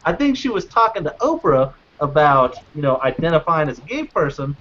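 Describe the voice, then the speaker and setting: A man co-hosts a casual podcast, swearing often and wandering through his points with restarts and fillers. His voice sits high for a man. The recording is dull and lo-fi. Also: big pitch swings, brisk, fairly bright tone, slight background noise. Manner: profane, rambling, with restarts and fillers. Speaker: podcast co-host. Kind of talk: casual chat.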